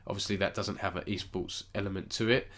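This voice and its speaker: A man's voice: sits low, fairly even intonation, fast talker, a bit bright. Nasal man with a cold. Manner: rambling, self-correcting, chats with viewers. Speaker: esports news host. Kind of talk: casual live-stream news roundup.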